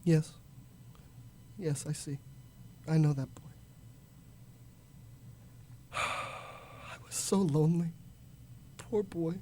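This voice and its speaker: A woman's voice: low-pitched, drawn-out words, pauses often, warm tone, a little hiss or hum. A young woman playing Ebenezer Scrooge, an old miser who is frightened and remorseful, in a stammering, exclamatory radio drama style. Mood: wistful regret. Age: young adult. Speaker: student actor.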